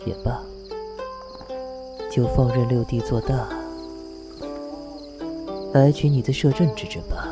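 A man playing a cunning, sardonic prince in a Chinese costume audio drama, speaking in a measured, mocking, theatrical way. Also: medium pitch, fairly even intonation, music in the background, natural voice. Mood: scheming, wistful.

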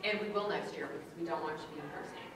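A woman's voice: low voice, fast talker. A young woman on convention staff taking questions from a live audience. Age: young adult. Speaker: convention staff leading a feedback panel. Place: large indoor convention hall. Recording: echoey hall, far from mic, loud crowd.

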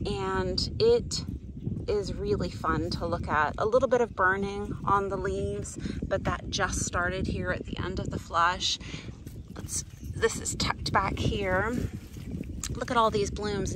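Woman host, casual garden-tour vlog, leisurely pace with fillers; outdoor phone audio, clear background ambience. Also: medium pitch, noisy background. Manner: slow, relaxed, thinking aloud with fillers. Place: outdoors on a wooden pier over open water. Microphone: handheld phone camera, speaker off screen.

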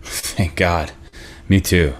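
A man's voice: low voice, steady tone, extremely slow. A man in his thirties acting a laid-back, wry but defensive boyfriend in a naturalistic table read on a video call.

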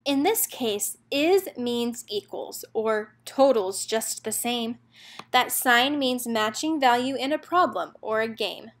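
A woman's voice: a little high, lively intonation, slow pace. A woman narrator reading a children's picture book aloud, expressively and in a rhythmic lilt of rhyming verse.

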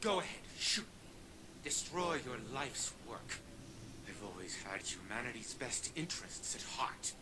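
A man's voice: mid-range voice, big pitch swings, unhurried, whispered. A man voicing an arrogant old male scientist who lives on inside a robot frame, in a video game cutscene, speaking in a cold, condescending, self-assured way. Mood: defiant.

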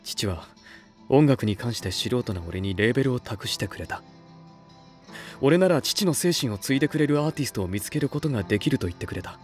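A male actor playing an earnest, soft-spoken young record label head in an anime voice drama, speaking in a measured way. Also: medium pitch, lively intonation, soft background music, natural voice. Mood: earnest, reflective.

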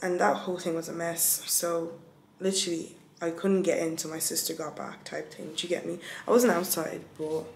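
A young woman in her twenties talks straight into her phone at arm's length, rambling through her day with a tired, laughing ease. Her voice sits a little low. The room gives it a noticeable echo, with steady noise behind her. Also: moderate pace, creaky. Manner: chatty, rambling, laughs often. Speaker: vlogger. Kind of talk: casual vlog chat to camera. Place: indoors at home, bedroom. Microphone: phone held at arm's length.

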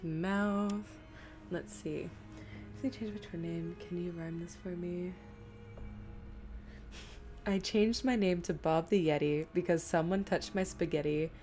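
A woman's voice: fairly low pitch, unhurried, long pauses, warm tone. A woman streaming a video game, chatting informally and rambling to viewers as she plays. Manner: informal, rambling, muttering to herself while playing. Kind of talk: casual live gaming commentary, chatting to viewers. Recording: game music behind the voice. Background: video game music and sound.

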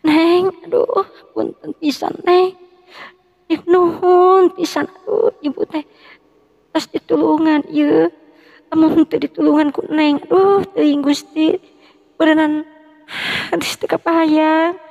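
A frantic older village woman cries out in a shrill, high falsetto, as a male storyteller puts her on. Her pleas stretch into long wails. A long reverb trails behind each line. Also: flat delivery, takes it slowly, creaky, pauses often, darkish tone, muffled recording, heavily acted. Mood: tearful gratitude. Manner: wailing, pleading, drawn out.

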